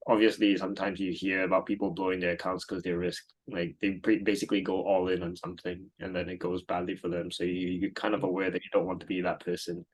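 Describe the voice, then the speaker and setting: This is a man in his thirties, originally from Singapore, talking as an interview guest. His voice sits very low. He rambles at an easy pace, with plenty of ums and restarts. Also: fast talker, hardly any pauses, breathy edge, poor audio. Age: 30s. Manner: relaxed, rambling, many fillers and restarts. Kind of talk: casual interview answers.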